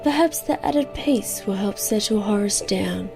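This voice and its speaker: Kooky new-age spiritualist woman, acted, slow and affectionate, comedy podcast monologue with music clearly behind. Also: medium pitch, expressive. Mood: serene. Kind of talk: scripted comedy radio segment monologue.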